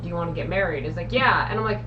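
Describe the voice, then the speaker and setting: A woman's voice: lowish voice, very fast. Woman chatting from off-mic, hissy audio. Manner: enthusiastic film talk, trailing asides. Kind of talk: casual chat. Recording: distant lo-fi mic with clear hiss.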